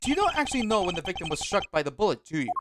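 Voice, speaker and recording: A streamer voicing a composed, sharp male prosecutor in a fan dub of a story game, measured and formal. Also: mid-range voice, sing-song, noisy background, close to an everyday voice. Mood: cool.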